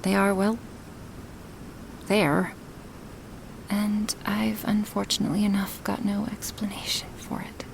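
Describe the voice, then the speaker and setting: A woman voicing a gentle, affectionate dragon woman in an intimate ASMR roleplay, breathy and hesitant, often slipping into whispers. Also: mid-range voice, flat delivery, background noise. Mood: hesitant, gently frank. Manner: slow, hesitant, with sighs and pauses. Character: gentle dragon woman, awkward, affectionate toward her human. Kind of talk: ASMR roleplay, spoken softly and intimately to the listener.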